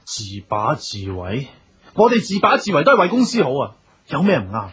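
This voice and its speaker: An actor playing an outspoken, hot-headed youngest brother in a radio drama, blunt and indignant, with a Hong Kong Cantonese accent. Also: male voice, a little high, huge pitch swings, a little fast, fairly bright tone.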